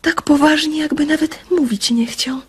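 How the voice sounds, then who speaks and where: An actress playing a shy, honest young woman in a radio drama, soft and confiding. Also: high-pitched, moderate pace, lightly acted. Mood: wistful confiding. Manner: soft, breathy, hushed confiding.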